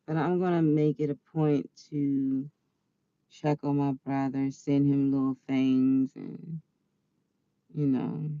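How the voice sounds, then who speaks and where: A woman around forty telling a personal story in a chatty, animated way, quick to laugh. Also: a bass voice, no echo, muffled recording. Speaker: vlogger.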